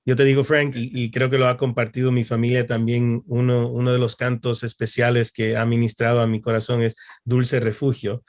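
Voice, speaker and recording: A man with an average-pitched voice hosts an interview. He speaks courteously and deliberately, setting out each thought and question for his guest in Spanish. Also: completely flat delivery, darkish tone. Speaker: interviewer, pastor and church supervisor. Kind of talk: interview hosting.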